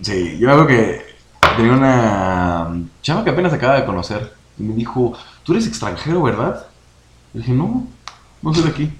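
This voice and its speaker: A young man with a Mexican Spanish accent telling an anecdote in a relaxed podcast chat, imitating other people's lines. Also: mid-range voice, moderate pace. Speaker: podcast co-host. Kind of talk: casual storytelling chat. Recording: echoey room, faint noise.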